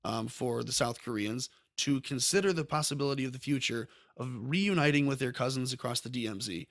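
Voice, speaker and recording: Male host, mid-pitched, meandering political commentary in a dead-dry room. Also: a little fast, fairly bright tone, no echo. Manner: rambling, frequent ums and self-corrections. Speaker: podcast host.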